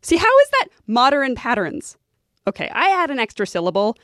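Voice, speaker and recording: A woman's voice: high-pitched, huge pitch swings, normal speed, fairly bright tone, no echo, clean studio sound. A woman hosting a podcast, telling stories in conversational, scripted narration. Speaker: podcast host.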